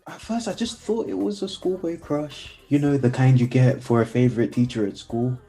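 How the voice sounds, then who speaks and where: A man's voice: mid-range voice, moderate pace, dark tone, faint music behind, natural voice. An actor playing an earnest, lovestruck young man in a radio play, awkward but persistent. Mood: confessional. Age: young adult. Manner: earnest, hesitant.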